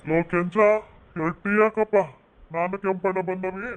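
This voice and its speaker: A man's voice: sits high, steady tone, measured pace, clean tone. A man playing an easygoing, familiar village customer in a rural drama. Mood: casual.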